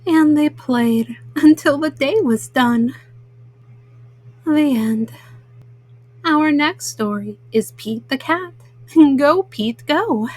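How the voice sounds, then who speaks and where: A woman storyteller reading a children's picture book at bedtime, slowly and simply, as a mama to young listeners. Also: high-pitched, a little mellow, no echo. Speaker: storyteller host.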